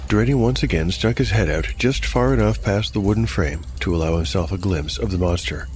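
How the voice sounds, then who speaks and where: A man with a very low voice narrates a fantasy tale as game master. His delivery is scripted and dramatic, with faint music underneath. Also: brisk, a little mellow.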